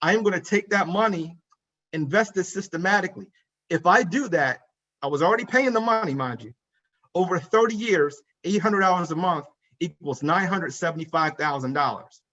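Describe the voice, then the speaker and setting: A man's voice: high-pitched, moderate pace, pushed and bright, muffled recording. A man in his forties, a financial advisor giving an emphatic conference talk, repeating key points and speaking straight to the audience. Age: 40s. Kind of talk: conference presentation. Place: conference room.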